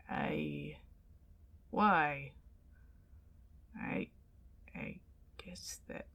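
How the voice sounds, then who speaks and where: A woman's voice: lowish voice, gravelly, a little mellow, heavily acted. A woman playing an indignant, flustered tsundere ghost in an ASMR roleplay, stammering and defensive as she protests. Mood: grudging, flustered.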